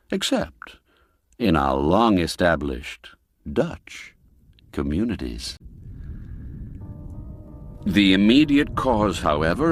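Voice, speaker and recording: A man narrating a classic spooky tale in a measured, expressive storytelling manner. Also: deep voice. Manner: measured, expressive storytelling with sweeping rises and falls. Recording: dry studio with faint music.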